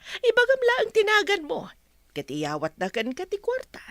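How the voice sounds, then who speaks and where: An actress with an Ilocano accent playing a secretive, bossy friend who hands out shady jobs in a radio drama. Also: female voice, very high-pitched, wildly swooping pitch, normal speed, a bit bright, no room sound, studio quality, exaggerated voice. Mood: coaxing, instructing.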